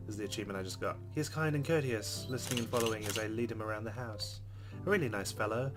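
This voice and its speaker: A man reads a visual novel aloud to his viewers and drops wry comments in between. His voice is mid-pitched and even. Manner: wry asides between narration. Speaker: game streamer.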